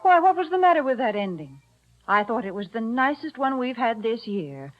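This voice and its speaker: A sweet, proper American sponsor hostess from 1940s radio. Her voice is fairly high and lively with cheerful swoops, on a muffled vintage recording. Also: moderate pace, soft background music. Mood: sweetly protesting. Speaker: commercial spokeswoman.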